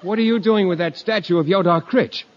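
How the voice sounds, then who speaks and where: An actor playing a shy, mild-mannered, easily flustered schoolteacher, hesitant and polite, in 1950s radio comedy. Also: male voice, high voice, a little fast, natural voice. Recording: muffled, noisy 1950s radio recording.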